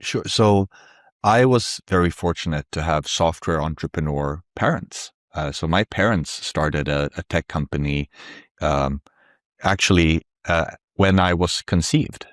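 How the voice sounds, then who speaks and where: A man's voice: rumbling low, measured pace, dry recording, studio quality. A middle-aged man from Sweden, a native Swedish speaker, explaining things conversationally and reflectively as an interview guest. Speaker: interview guest, tech company founder.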